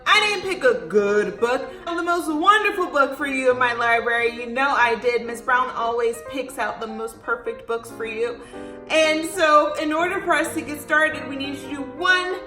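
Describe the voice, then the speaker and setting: A woman hosting a story time for young children, reading aloud with dramatic emphasis, drawn-out words and questions to her listeners. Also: high-pitched, lively intonation, pushed and bright, roomy sound, faint music behind.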